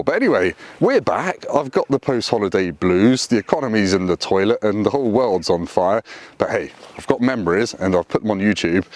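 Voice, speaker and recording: A man's voice: darkish tone, no echo. A man, chatting casually to camera from a roadside lay-by, dry and self-deprecating. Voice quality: deep voice. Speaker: motorcycle vlogger. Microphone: camera on tripod.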